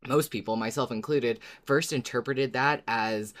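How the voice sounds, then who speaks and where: A man's voice: medium pitch, normal speed, a bit bright. Man co-hosting a casual fan podcast. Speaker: podcast co-host.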